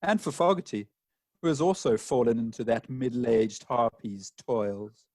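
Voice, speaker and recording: A man's voice: mid-range voice, expressive, measured pace, a little mellow, dry recording, studio quality. A man playing a gloomy, anxious jilted bridegroom in a comic farce, plaintive and formal. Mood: glum. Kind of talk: comic stage farce acting.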